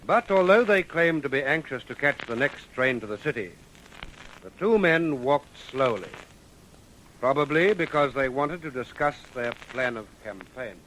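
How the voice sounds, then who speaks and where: A man narrating a true-crime radio drama in a measured, solemn, suspenseful scripted style. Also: medium pitch, animated, fairly bright tone, slight background noise, poor audio. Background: music.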